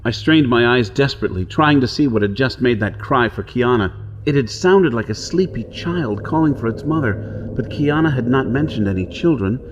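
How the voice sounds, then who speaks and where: A man's voice: mid-range voice, lively intonation, moderate pace, muffled recording, slight background noise, lightly acted. An actor playing a desperate outlaw hero on Mars, telling his ordeal in intense, theatrical first-person narration. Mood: dread, awe. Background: dramatic music and soundscape.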